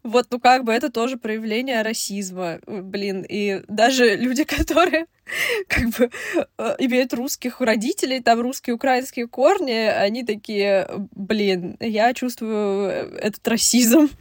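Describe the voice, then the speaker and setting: A woman from Russia co-hosting a podcast, talking casually in quick asides with lots of fillers. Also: fairly high pitch, no room sound, clean studio sound. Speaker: podcast co-host.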